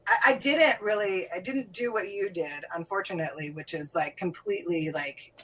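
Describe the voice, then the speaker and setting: Chatty middle-aged woman, slightly low voice. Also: moderate pace, fairly bright tone, a little hiss or hum, low-quality recording. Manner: chatty, rambling, laughs often. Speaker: podcast host. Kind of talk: casual conversation.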